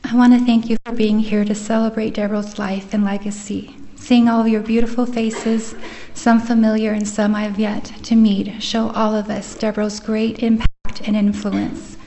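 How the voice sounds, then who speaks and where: A woman giving a steady, earnest life sketch as a eulogy at a funeral service in a chapel. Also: medium pitch, normal speed, a little hiss or hum. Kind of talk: reading a eulogy.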